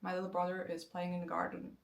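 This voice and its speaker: A woman in her twenties, a native Turkish speaker, explaining language learning in a casual, lively way and slowing down for example sentences. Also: on the low side, gravelly. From Turkey. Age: 20s. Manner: lively, conversational, slows down to spell out example sentences. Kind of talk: casual explanatory talk to camera.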